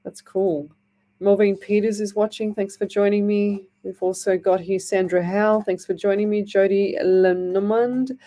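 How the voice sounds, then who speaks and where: Rambling woman psychic with a mellow voice. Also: mid-range voice, fairly even intonation, normal speed. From Australia. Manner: rambling, self-interrupting, many fillers. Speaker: psychic medium radio host. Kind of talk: live psychic reading, casual chat.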